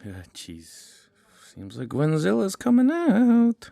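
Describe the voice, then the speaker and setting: A man playing a laid-back, teasing high-school boy in an audio drama. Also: wildly swooping pitch, takes it slowly, creaky, dark tone, dry recording, clean studio sound, heavily acted. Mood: placating. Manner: casual, teasing. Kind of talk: scripted audio drama acting.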